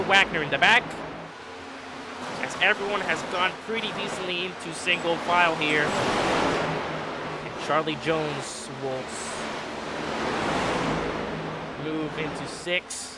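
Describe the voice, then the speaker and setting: Man, stuffy from a cold, excitable play-by-play caller; high voice; loud engine noise behind. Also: measured pace. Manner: excitable, rises in pitch at restarts and wrecks. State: stuffy, a little sick. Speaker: race commentator. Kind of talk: sim racing play-by-play commentary.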